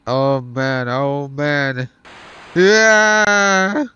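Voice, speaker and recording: A man's voice: on the high side, extremely slow, pushed and bright, smooth, dull audio. A man doing casual let's play commentary, thinking aloud hesitantly and swearing now and then. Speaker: gaming streamer.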